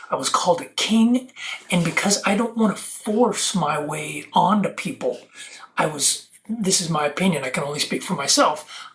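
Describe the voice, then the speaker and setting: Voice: mid-range voice, a bit bright. A video host giving a rambling spiritual monologue to viewers, preachy and earnest, leaning emphatically on wordplay and split-up words.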